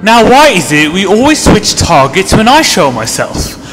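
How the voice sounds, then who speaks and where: Man as an eccentric time traveller, raised pitch, cheeky, mock-weary, lilting, music behind, sci-fi audio drama. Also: big pitch swings, moderate pace, roomy sound, in a large hall. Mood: mock puzzled.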